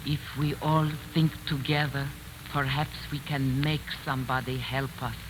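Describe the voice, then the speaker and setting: A solemn living waxwork , played by a man, sends out commands very slowly in a measured, trance-like voice. The 1940s radio horror recording has clear background noise. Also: mid-range voice, flat delivery, close to an everyday voice. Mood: solemn, commanding. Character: solemn living wax murderer Magda, projecting commanding thoughts. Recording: noisy 1940s radio broadcast.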